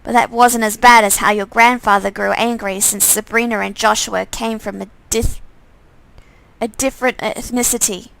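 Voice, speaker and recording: A woman's voice: a little high, fairly even intonation, normal speed, vocal fry, a bit bright, poor audio. A woman streamer reading a visual novel game aloud, stumbling over lines and re-reading them with asides to herself.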